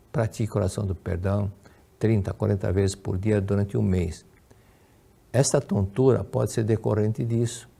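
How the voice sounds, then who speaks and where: Older Brazilian male lecturer, low gravelly voice, unhurried storytelling teacher. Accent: Brazilian Portuguese. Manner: warm storytelling, repeats and restarts words. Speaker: religious lecturer. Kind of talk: religious teaching with Q&A.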